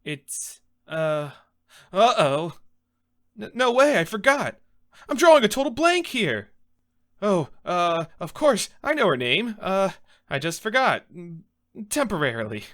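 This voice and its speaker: A man's voice: sits high, sing-song, measured pace, fairly bright tone, voice pushed up, full character voice. A man playing an earnest, nervous young rookie defense lawyer in a game script, hesitant in his delivery. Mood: flustered. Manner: hesitant, stammering.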